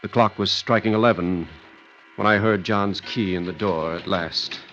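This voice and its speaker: An actor playing a killer's nervous, hesitant brother who also narrates an old-time radio thriller. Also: male voice, low voice, steady tone, normal speed, close to an everyday voice. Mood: grave, tense. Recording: muffled vintage radio recording with music.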